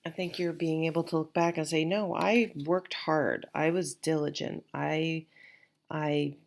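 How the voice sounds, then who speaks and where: Slow, gravelly low-voiced woman reading tarot. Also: fairly even intonation. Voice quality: nasal from allergies. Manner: rambling, reassuring, many restarts and fillers. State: sniffly, stuffed-up. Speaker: tarot reader. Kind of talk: casual tarot reading to camera.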